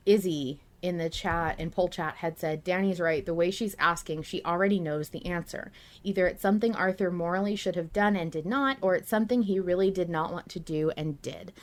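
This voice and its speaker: A woman co-hosting a podcast in casual, chatty, expressive discussion. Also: on the low side, moderate pace. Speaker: podcast co-host.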